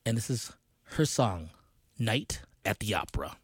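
A man hosting a radio show, reading a news feature aloud in a steady voice and introducing songs. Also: fairly low pitch, unhurried, noisy background. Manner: steady read-aloud delivery, quotes read plainly. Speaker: radio host.

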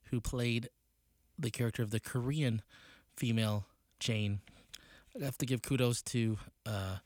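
A man in his late forties, a community news reporter, reviewing a play in an unhurried, halting way with frequent fillers. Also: lowish voice, darkish tone, dry recording, clean studio sound. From United States.

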